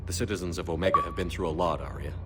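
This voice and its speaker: An actor playing a stern, principled space soldier commander in a video game, firm and earnest. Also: male voice, deep voice, steady tone, racing, lightly acted. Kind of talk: dramatic video game acting. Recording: studio voice under loud music.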